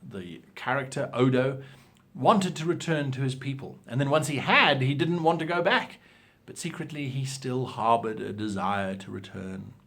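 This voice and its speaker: A man hosting an advice show, explaining things to viewers in an animated, theatrical and emphatic way. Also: mid-range voice. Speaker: host of a role-playing advice show. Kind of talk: instructional talk to viewers with acted example lines.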